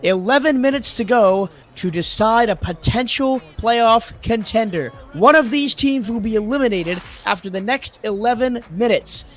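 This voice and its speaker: A man calling play-by-play for a simulated football game, his voice hoarse and lower after a cold, rising sharply in pitch and volume on big plays. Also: normal speed, hardly any pauses, soft background music. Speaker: play-by-play commentator. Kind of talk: sports play-by-play commentary.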